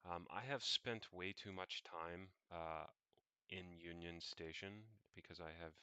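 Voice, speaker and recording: A young man narrating what he does on screen, unscripted, in a halting, meandering way as he thinks aloud. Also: deep voice, fairly even intonation, pushed and bright, smooth, no echo. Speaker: vlogger.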